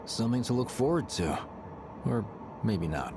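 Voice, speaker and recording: A man's voice: medium pitch, sing-song, moderate pace, noisy background, natural voice. An actor playing an easygoing young male rebel in a video game, talking in a casual, slangy way. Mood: friendly, shaken. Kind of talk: video game cutscene acting.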